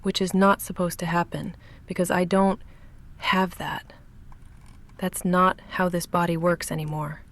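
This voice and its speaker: A woman playing a shy, lovestruck vampire in an intimate ASMR roleplay, speaking softly and breathily with halting, confessional hesitation, often in a whisper. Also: lowish voice, level tone. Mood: afraid, confessing. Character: shy lovestruck female vampire roommate, confessing and self-restrained.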